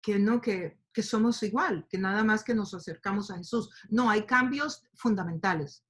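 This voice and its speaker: A woman teaching a Bible study to a group, speaking warmly and explaining with fillers and questions. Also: mid-range voice, husky. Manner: speaks to a group, asks them to open their Bibles, many fillers like "eh" and "este". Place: lives in the United States.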